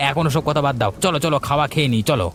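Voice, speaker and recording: A man's voice: a little high, rapid-fire, fairly bright tone, a little hiss or hum, close to an everyday voice. A man voicing a petty, selfish village family man in a cartoon story, blunt and grumbling in manner. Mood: dismissive. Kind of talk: Bengali cartoon story voice acting.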